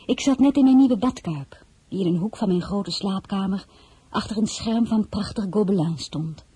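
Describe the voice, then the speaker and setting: An actress playing an impulsive, homesick young crown princess in a radio drama, with a husky, breathy voice. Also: female voice, mid-range voice, normal speed, warm tone, no room sound. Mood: narrating. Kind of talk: radio drama acting with first-person narration.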